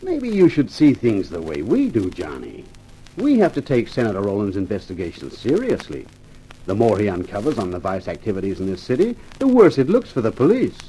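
An actor playing a shrewd, quietly menacing male police chief in a 1950s radio crime drama, dry and sarcastic. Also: fairly low pitch, wildly swooping pitch, a little fast, husky, heavily acted. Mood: stern, frustrated. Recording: slightly noisy vintage radio recording.

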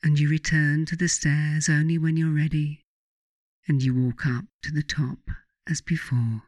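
Slow, soothing meditation guide, close and dry. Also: mid-range voice, little pitch movement, darkish tone, no echo. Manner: soothing, deliberate, instructing. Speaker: author narrating a guided meditation. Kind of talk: guided meditation, scripted narration.

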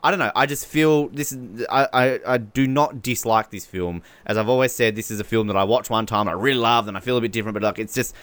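A man's voice: mid-range voice, fast talker, hardly any pauses, a bit bright, dry recording. A man co-hosting a podcast, chatting casually about films in a rambling, jokey, opinionated way. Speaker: podcast co-host. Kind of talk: casual film commentary chat.

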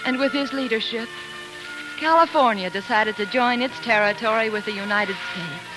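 An actress playing a spirited, fiercely loyal colonel's wife and devoted daughter in an impassioned, theatrical 1940s radio drama style. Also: female voice, a little high, normal speed, close to an everyday voice, poor audio. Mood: proud, tender. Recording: 1940s radio broadcast with music.

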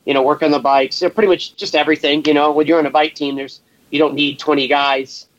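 Laid-back rambling man, slightly high voice. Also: little pitch movement, fast talker, no room sound, dull audio. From Massachusetts, USA. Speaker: guest, race shop welder. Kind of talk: casual storytelling interview.

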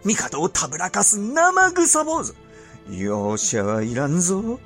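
An actor playing an imperious, impatient and suspicious medieval Japanese ruler in a period audio drama, with theatrical, drawn-out emphasis. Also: male voice, sits high, sing-song, fairly bright tone, faint music behind, exaggerated voice. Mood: impatient, ruthless. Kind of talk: period radio drama acting in archaic speech.

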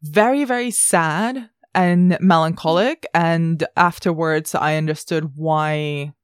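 A woman's voice: sits low, slow, clean tone, no echo, studio quality. A woman hosting a film podcast, talking casually in a considered, reflective way.

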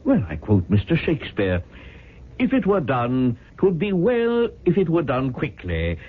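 Brief: a cheerfully villainous professor, pedantic and theatrical, wildly varied intonation, thin vintage radio sound. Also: male voice, fairly high pitch, wildly swooping pitch, husky, full character voice. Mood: airy, sly. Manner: pedantic, chuckling, theatrical with drawn-out emphasis. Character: genial, smug male professor cheerfully plotting sabotage. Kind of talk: 1970s radio drama acting. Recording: phone-like vintage radio recording, faint music.

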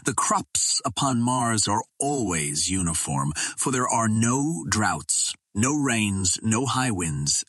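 A man reading an adventure novel aloud as a storyteller, in a measured, formal way. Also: lowish voice, fairly bright tone, husky, dry recording. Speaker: audiobook reader. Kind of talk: scripted literary narration of an adventure novel.

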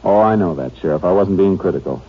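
Texas Ranger: deep, clipped, businesslike American investigator for old radio drama, dull vintage sound. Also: male voice, quick pace, breathy edge. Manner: terse, matter-of-fact questioning. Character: laconic, sharp-eyed Texas Ranger investigator. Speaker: actor in a radio crime drama. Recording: muffled, noisy vintage radio recording.

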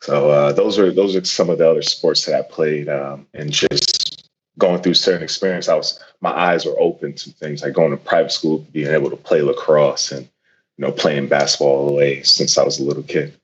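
A man's voice: extremely low pitch, fairly even intonation, normal speed, lo-fi sound. A man in his twenties from Connecticut, a professional football player, talking casually as a guest with many fillers and restarts. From Connecticut, USA.

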